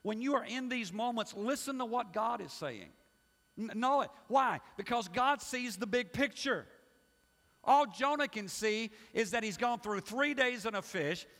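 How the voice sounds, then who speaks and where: A middle-aged male pastor preaching a sermon, emphatic and swinging between loud pushes and hushed asides. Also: very high voice, lively intonation, unhurried. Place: church sanctuary. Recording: long hall reverb.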